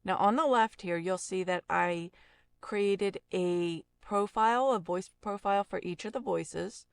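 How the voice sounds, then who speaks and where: A woman instructing in a casual step-by-step software tutorial, explaining each step plainly into a close mic. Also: mid-range voice, measured pace, creaky, clean tone, dry recording.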